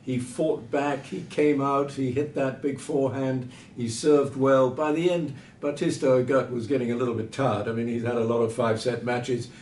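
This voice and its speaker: A man gives unscripted sports analysis in a mid-pitched voice. He lays out firm opinions in short, measured phrases. The sound is echoey, with a faint rumble behind him. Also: steady tone, darkish tone. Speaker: tennis pundit. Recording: roomy, distant mic with background rumble.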